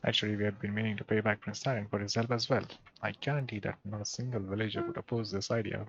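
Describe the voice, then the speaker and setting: A man playing through a fantasy game, reading the dialogue aloud and adding casual remarks, with a gravelly voice. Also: fairly low pitch, fairly even intonation, fast talker, highs cut off, a little hiss or hum. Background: game music.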